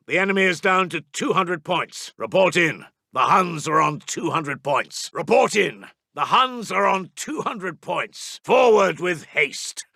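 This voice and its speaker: Casting: brisk British wartime intelligence officer for a strategy game; sharp, clipped, formal delivery, dry close recording. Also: male voice, sits high, vocal fry, no echo, exaggerated voice. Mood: urgent, rousing. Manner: clipped, formal, urgent military reporting. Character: brisk, dutiful British Second World War intelligence officer. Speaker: video game intelligence announcer. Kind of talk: scripted military game voice lines.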